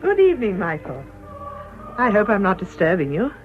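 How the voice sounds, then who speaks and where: An actress playing a genteel, self-assured middle-aged canon's wife in a radio drama, polite and brisk. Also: female voice, medium pitch, huge pitch swings. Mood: gracious. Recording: dull radio recording, music behind.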